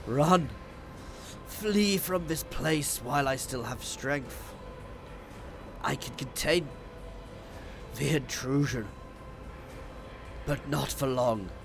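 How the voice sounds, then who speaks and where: A man's voice: medium pitch, takes it slowly, gravelly, long pauses, over music, pitched above the performer's own voice. A man reading as a frantic, desperate ship navigator. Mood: urgent. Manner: urgent, strained pleading.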